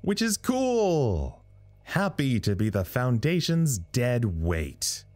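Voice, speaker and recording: An actor playing a weary, self-deprecating communications officer in an audio drama, talking in a rambling, wry way. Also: male voice, mid-range voice, wildly swooping pitch, slow pace, a little mellow. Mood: rambling, wry, nervous. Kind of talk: audio drama recorded log monologue.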